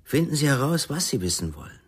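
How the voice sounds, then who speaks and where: An actor playing a cool, inscrutable doctor in a radio crime drama, speaking in curt, measured lines with a husky voice. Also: male voice, mid-range voice, sing-song, fairly bright tone. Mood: cool, firm.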